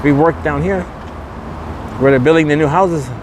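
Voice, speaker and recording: A man with a slightly high voice hosts a walking vlog. He speaks in repetitive, promotional catchphrases. He is recorded outdoors on a street, with clear traffic noise behind him. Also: moderate pace. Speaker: vlogger and host. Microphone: handheld camera. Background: traffic and vehicle noise.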